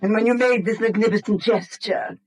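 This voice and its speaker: An actress playing an anxious, embittered wife in a British radio drama, emotional and cutting, with a British accent. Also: female voice, mid-range voice, moderate pace, darkish tone, lightly acted. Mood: bitter reproach. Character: anxious, embittered wife, pleading and sharp-tongued. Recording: vintage 1970s radio drama recording.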